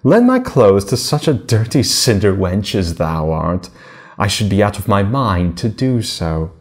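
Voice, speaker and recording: A man's voice: on the low side, big pitch swings, measured pace. A man voicing a haughty, scornful young stepsister in a storybook fairy tale, with boastful, disdainful, old-fashioned formal speech.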